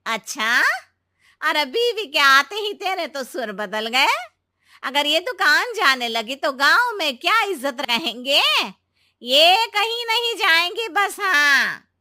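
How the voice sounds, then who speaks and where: A woman voicing a stern, proud old mother-in-law in a cartoon, scolding and ending her remarks with a sharp "haan". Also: high-pitched, very animated, takes it slowly, no room sound, clean studio sound, heavily acted. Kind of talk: animated cartoon dubbing.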